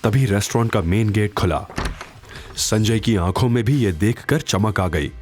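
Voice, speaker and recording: A man's voice: on the low side, moderate pace, darkish tone, slight background noise. A man narrating a scripted audio story in a dramatic storytelling style. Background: faint background music.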